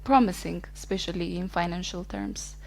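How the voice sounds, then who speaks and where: A woman's voice: fairly low pitch, moderate pace, darkish tone. Easygoing woman in her twenties. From Ethiopia. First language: Amharic. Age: 20s. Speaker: podcast guest. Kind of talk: casual interview chat.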